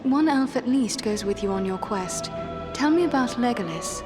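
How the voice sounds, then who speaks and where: A woman's voice: a little high, animated, normal speed, over music, lightly acted. A woman playing an earnest, dignified elf adventurer in a fantasy game, speaking in a formal, composed way. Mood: curious. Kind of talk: fantasy game dialogue acting.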